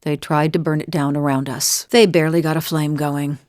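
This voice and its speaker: A woman's voice: low voice, level tone, normal speed, a little mellow, no room sound, clean studio sound, natural voice. A woman playing a weary, wry middle-aged adventurer in a video game, confiding in a plain-spoken way. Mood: grim, confessional. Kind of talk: video game character dialogue.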